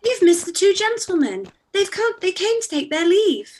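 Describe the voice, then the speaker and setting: Brief: breathless excitable young girl, voiced by a woman, very high and sing-song. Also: moderate pace. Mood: excited. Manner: gushing, breathless. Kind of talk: live-read radio play.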